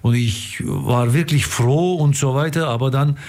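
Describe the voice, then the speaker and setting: A middle-aged or older man from Istanbul with a Turkish accent, telling stories as a radio guest, haltingly and repeating words for emphasis. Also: medium pitch, darkish tone. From Istanbul, Turkey. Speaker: interview guest, theatre director. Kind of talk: casual storytelling in an interview.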